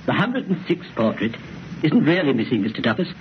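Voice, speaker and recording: Formal, higher-voiced country clergyman. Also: very animated, fairly quick. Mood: grave, ominous. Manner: hesitant, formal, solemn. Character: courteous, grave English country clergyman. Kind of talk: 1930s radio drama acting. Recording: muffled, faintly noisy old radio.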